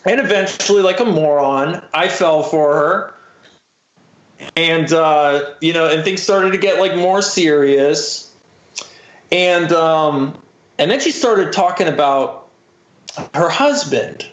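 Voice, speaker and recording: A man's voice: on the high side, slow pace, lo-fi sound. A man in his thirties telling a personal story as a podcast guest in a casual, wry, self-deprecating way. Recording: roomy, echoey podcast recording.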